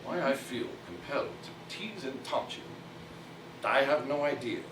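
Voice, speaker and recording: A man's voice: medium pitch, expressive, background noise, lightly acted. Man acting a proud, wordy toy merchant on stage. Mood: haughty, wry. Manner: formal, wordy, halting. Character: gruff, proud toy merchant turning sheepishly soft-hearted. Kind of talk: theatrical stage musical acting. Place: theatre stage, distant microphone. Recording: echoey stage recording from far off, music behind.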